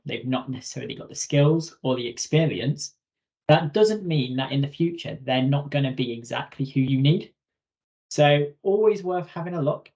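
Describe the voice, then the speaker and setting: A man giving an informal instructional webinar presentation as a software trainer. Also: fairly high pitch. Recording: slightly dull lo-fi webinar audio.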